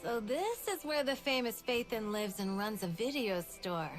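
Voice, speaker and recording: A woman's voice: fairly high pitch, expressive, normal speed, soft background music, natural voice. An actress voicing a brash, free-spirited young woman who leads a biker gang in a video game, casual and assertive. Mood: easygoing.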